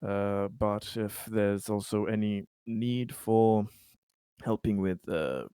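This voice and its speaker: A man's voice: lowish voice, slow pace, dark tone, smooth, no echo, clean studio sound. A man improvising in-character roleplay dialogue, speaking formally and hesitantly and often repeating phrases. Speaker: tabletop roleplayer.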